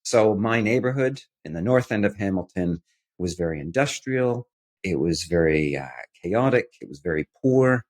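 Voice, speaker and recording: A man from Canada with a very deep, low voice recounts his childhood as a podcast guest. He speaks in a measured, descriptive way with a dry, wry edge. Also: animated, darkish tone. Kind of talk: storytelling in an interview.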